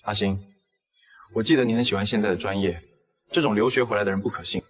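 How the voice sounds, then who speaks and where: A man portrays a police captain in a dramatized comic. His voice is on the low side and rough-edged, with a restrained, protective manner. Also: steady tone, fast talker. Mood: jealous. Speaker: police detective. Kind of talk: audio drama acting for an animated story.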